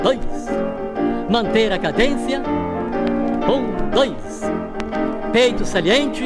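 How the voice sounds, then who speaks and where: A man's voice: high-pitched, long reverb tail. A Brazilian man leading vintage radio gymnastics with formal, clipped, commanding instructions and counts. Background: piano music.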